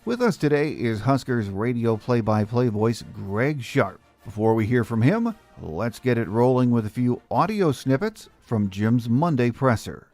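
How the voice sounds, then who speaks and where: A man's voice: mid-range voice, animated, a little slow, hardly any pauses, a little mellow, no room sound, soft background music. A man with an American accent hosting a sports podcast in scripted narration. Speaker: podcast host.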